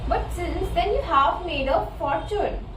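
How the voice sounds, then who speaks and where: A woman's voice: extremely high pitch, unhurried. A woman playing a persistent, nagging wife in a student readers' theatre reading. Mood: insistent, irritated. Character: persistent nagging wife set on buying a villa. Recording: noisy, echoey room, distant mic.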